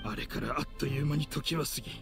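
An actor playing a bitter, vengeful middle-aged man in an anime game, speaking with rough, contemptuous, theatrical accusation. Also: medium pitch, fast talker, fairly bright tone, faint music behind. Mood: bitter, brooding. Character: bitter, vengeful man in a grudge-driven confrontation.

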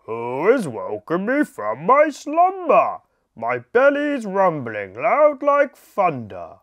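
Woman doing a slow booming sleepy bear. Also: huge pitch swings, no room sound, muffled recording, heavily acted. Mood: drowsy. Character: big sleepy hungry male bear.

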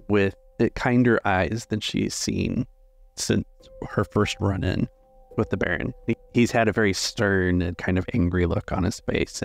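A man with a gravelly, creaky voice acting as game master, explaining rules and narrating a tabletop story. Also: on the low side, dry recording.

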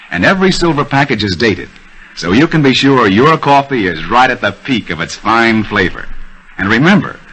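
Husky American 1930s radio pitchman. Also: a bit bright, rough recording. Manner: folksy, persuasive salesman delivery. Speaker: radio commercial announcer. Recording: old 1930s radio broadcast.

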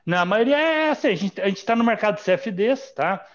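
Man, a bit high-pitched, chatty teacher, lo-fi call audio. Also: expressive, fast talker. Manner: chatty, tag questions. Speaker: trading teacher and host. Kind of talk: casual explanation on a video call. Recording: dull lo-fi video call audio.

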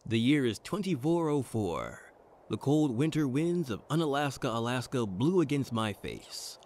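A man's voice: medium pitch, big pitch swings, moderate pace, darkish tone. Man playing a brooding noir detective with swooping delivery. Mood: earnest, hopeful. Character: brooding futuristic private detective, earnest and wry. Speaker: detective narrator. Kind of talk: noir audio drama narration.

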